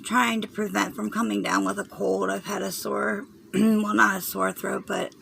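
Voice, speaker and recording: A hoarse woman with a Southern US accent, rambling through a craft tutorial and often clearing her throat as she fights a cold. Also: mid-range voice, flat delivery, moderate pace, fairly bright tone, a little hiss or hum. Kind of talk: chatty loom tutorial.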